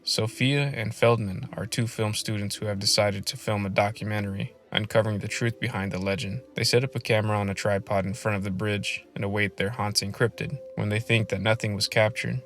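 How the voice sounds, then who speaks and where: A man presents a scripted video essay on film. His voice sits fairly low with a strong creaky edge. He speaks in a measured way, as a host addressing viewers. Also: a bit bright.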